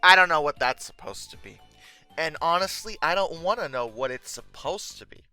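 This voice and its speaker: A video host giving comedic commentary on pictures with theatrical mock exasperation, swinging between deadpan asides and outbursts. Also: medium pitch, normal speed, no echo, soft background music.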